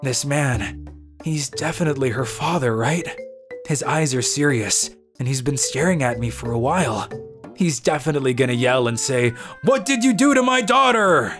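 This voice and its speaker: A self-deprecating young salaryman acted by a man tells his own manga story. His voice sits at a middling pitch, with music playing behind him. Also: animated, moderate pace, a bit bright, lightly acted. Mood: panicked. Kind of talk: manga story narration and dialogue acting. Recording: music under the voice.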